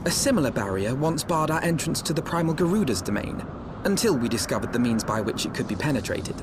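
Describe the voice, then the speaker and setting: A man's voice: mid-range voice, fast, close to an everyday voice. An actor playing an earnest young nobleman in a fantasy game, questioning others in formal, old-fashioned language. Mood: thoughtful. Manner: formal, archaic, expressive speech. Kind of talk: dramatic fantasy game acting. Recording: outdoor ambience noise behind.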